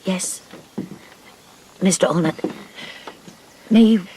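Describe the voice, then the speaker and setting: Prim, well-mannered spinster, low-pitched, radio play. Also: female voice, slow, dark tone, slight background noise. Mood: tender. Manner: prim, formal, polite. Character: prim, proper spinster missionary woman. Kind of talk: radio drama acting.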